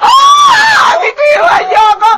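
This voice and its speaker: A distraught mother in a radio drama, shrieking very high and wailing with wild swings in pitch. A loud crowd and heavy noise surround the voice. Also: huge pitch swings, slow, exaggerated voice. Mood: hysterical grief. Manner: hysterical, wailing, screaming. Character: grief-crazed mother guarding her dead child. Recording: noisy, shouting crowd behind.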